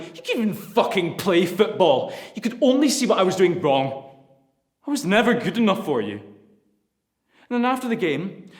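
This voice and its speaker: A man's voice: medium pitch, pitched above the performer's own voice, lightly acted. A man playing a bitter son raging at his father. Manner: furious, swearing, voice climbing to shouts. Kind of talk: audition monologue. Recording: roomy echo.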